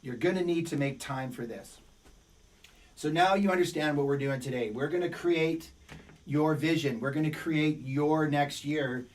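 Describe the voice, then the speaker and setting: A man's voice: fairly high pitch, moderate pace, recorded from a distance. A middle-aged man coaching a webinar in an emphatic, repetitive motivational way, his voice worn by a cold. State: fighting a cold, voice a bit worn. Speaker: business coach. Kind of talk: webinar teaching.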